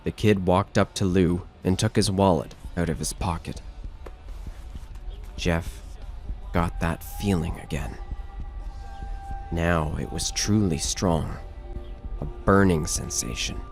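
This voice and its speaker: A man's voice: low-pitched, slow, gravelly, pauses often, a little mellow, faint music behind. A man narrating a scripted horror story as the narrator of an audio drama.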